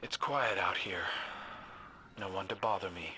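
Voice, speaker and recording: An actor playing a wry, guarded lone scout in a video game, with a dry, understated way of talking. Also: male voice, low-pitched, measured pace, pushed and bright, a little hiss or hum, heavily acted. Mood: wary, dry. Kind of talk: cinematic video game dialogue.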